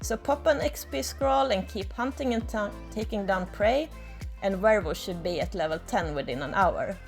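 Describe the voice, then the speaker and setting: A woman's voice: medium pitch, moderate pace, soft background music. A young woman with a Swedish accent, chatting enthusiastically in a casual game guide recorded on an outdoor balcony. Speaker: gaming YouTuber. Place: outdoor balcony, tropical resort.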